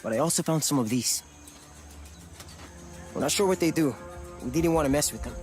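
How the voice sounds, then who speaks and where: A man's voice: on the high side, moderate pace, over music, close to an everyday voice. A man playing an eager, loyal rebel sergeant in a video game, with a Latin American Spanish accent. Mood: urgent. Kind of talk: video game cutscene acting.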